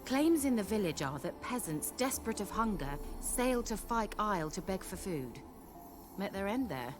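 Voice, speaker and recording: An actress playing a vain, sharp-tongued sorceress in a video game, speaking in a haughty, wry, coaxing manner. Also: female voice, medium pitch, very animated, normal speed, lightly acted. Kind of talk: video game cutscene acting. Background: game soundtrack music.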